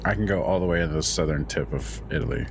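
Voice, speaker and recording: A man with a very deep voice, chatting casually as a guest player over voice chat. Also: fast, background noise. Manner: very deep voice, laid-back.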